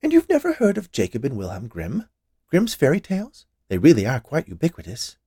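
A plump, cheerful lady sweet-maker from an audiobook, acted out by a man. The voice is lifted high and lilts freely in a close, dry recording. The delivery is chatty, gushing and endlessly inquisitive. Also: wildly swooping pitch, brisk, dark tone, slightly rough, dry recording, heavily acted. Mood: chirpy, curious. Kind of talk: audiobook character voice.

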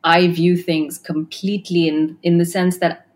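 A woman's voice: on the low side, little pitch movement, a little slow. A woman who grew up in Kenya and Australia, founder of a tech design firm, answering interview questions thoughtfully with many fillers and restarts.

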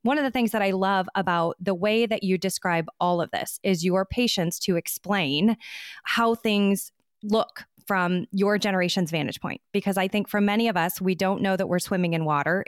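A woman's voice: medium pitch, no echo, studio quality. A woman hosting a podcast interview, talking in a conversational, reflective way as she frames questions. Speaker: podcast host.

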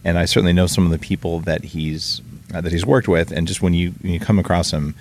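A man hosting a podcast, chatting casually and upbeat with quick asides, recorded in a room with his guest. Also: low-pitched, fairly even intonation, a little hiss or hum. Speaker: podcast host. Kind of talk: casual interview and ad read. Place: room in Hawaii, live with guest.

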